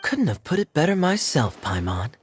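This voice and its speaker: An actor voicing a suave, wry adult knight in a video game. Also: male voice, fairly high pitch, sing-song, moderate pace, over music.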